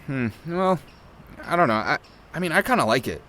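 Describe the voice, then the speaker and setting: A man's voice: on the high side, lively intonation, moderate pace, sharp tone. A man playing a fussy, exasperated podcast sound technician in naturalistic audio drama dialogue, informal and nagging.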